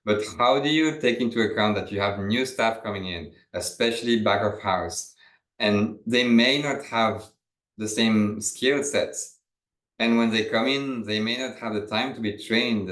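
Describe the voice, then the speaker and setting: French-accented male webinar presenter, lowish voice, chatty and loose, echoey room. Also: normal speed, a bit bright. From France. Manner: loose and conversational, with fillers and restarts.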